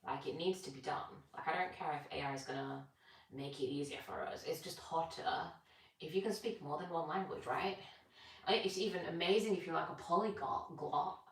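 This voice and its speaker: A woman's voice: low voice, moderate pace. A young British woman chatting casually on a live stream while she stretches, rambling and answering comments. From Britain. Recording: echoey room, distant from mic.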